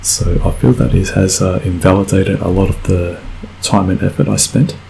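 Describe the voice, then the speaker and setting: Croaky guy with a cold, super low gravelly voice, rambling game commentary, faint hiss behind. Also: moderate pace, mellow, low-quality recording. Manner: rambling, self-correcting, frequent fillers. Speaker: let's play gamer. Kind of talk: casual gameplay commentary.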